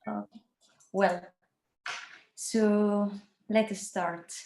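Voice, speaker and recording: Spanish lady presenting online in english, slow careful talk, roomy echo. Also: mid-range voice, flat delivery, creaky, darkish tone, slight background noise. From Spain. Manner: careful, apologetic about her English. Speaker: university researcher presenting.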